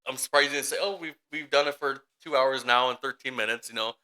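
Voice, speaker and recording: Guy chatting on a podcast w his brother, really bright voice, goes off on tangents n laughs a lot. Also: medium pitch, fast. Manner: rambling storyteller, laughs a lot, acts out voices in anecdotes. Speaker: podcast co-host. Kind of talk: casual chat between brothers.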